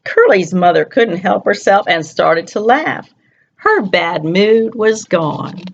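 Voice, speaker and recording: A woman reads a children's story at an unhurried pace and a middle pitch. She is expressive and turns to her young listeners with questions. The sound is a little dull and lo-fi. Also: slight background noise. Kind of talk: reading a picture book aloud to young children.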